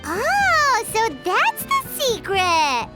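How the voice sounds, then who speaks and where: Tiny bubbly game sidekick creature: squeaky, childlike, very animated, sky-high pitch. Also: female voice, very slow, over loud music, exaggerated voice. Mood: curious. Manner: chirpy, expressive, refers to self by name. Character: tiny childlike floating companion, bubbly, food-loving, third-person talker. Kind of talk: English video game voice acting.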